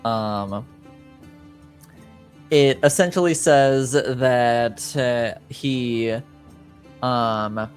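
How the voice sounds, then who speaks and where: A man improvising narration as a game master, hesitant and often pausing to find his words. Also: medium pitch.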